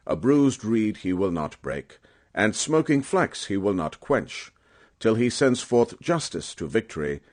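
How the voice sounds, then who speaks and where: A man narrating scripture as a dramatic audiobook reading, with a solemn, emphatic and measured oratorical delivery. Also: lowish voice, darkish tone.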